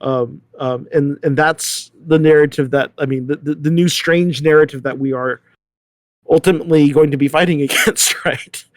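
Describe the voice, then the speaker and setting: A man's voice: a little high, fairly even intonation, no echo. Guy on a panel talking it out, stop-start, lots of "right?", really dry sound. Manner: halting, repeats words, seeks agreement with "right?". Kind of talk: panel discussion, thinking aloud.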